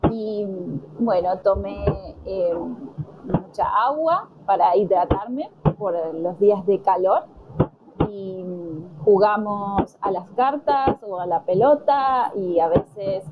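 An Argentine woman answering conversation questions slowly and deliberately, pausing with fillers. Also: medium pitch, lively intonation, lo-fi sound, noisy background. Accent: Argentine Spanish. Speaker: interviewee. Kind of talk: slow, careful conversation answers.

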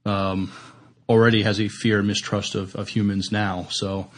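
Guy on a podcast panel, lowish flat voice, casual, keeps hesitating between words. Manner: hesitant, halting delivery. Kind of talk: casual discussion of TV science fiction.

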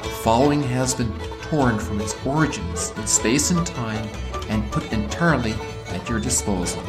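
A man's voice: mid-range voice, animated, moderate pace. Guy reading a formal podcast intro, mid voice, guitar and fiddle under it. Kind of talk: scripted show intro.

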